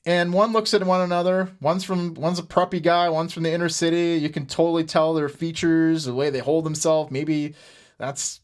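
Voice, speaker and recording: A middle-aged man with an American accent giving unscripted podcast commentary in an earnest, halting manner, often repeating words. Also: on the high side, level tone. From United States. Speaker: podcast host. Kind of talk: unscripted political commentary with personal stories.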